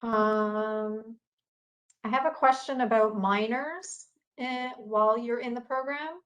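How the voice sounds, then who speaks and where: A woman reads out questions over a video call, her voice on the high side. She talks slowly, lightly and with hesitation. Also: a bit bright, low-quality recording. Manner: light, hesitant, laughs often. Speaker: moderator.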